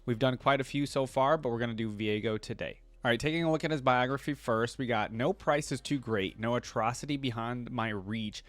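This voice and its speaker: A man's voice: medium pitch, brisk, hardly any pauses, dry recording. A man hosting a reaction video in a casual style, reading lore aloud and adding conversational asides of his own. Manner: conversational asides on what he reads.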